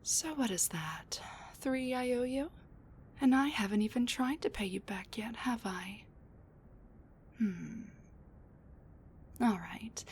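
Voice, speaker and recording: A woman playing a sassy, rambling wounded supervillain with a soft side, talking intimately to the listener in a roleplay audio drama, with breathy asides and sighs. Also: fairly high pitch, a little hiss or hum.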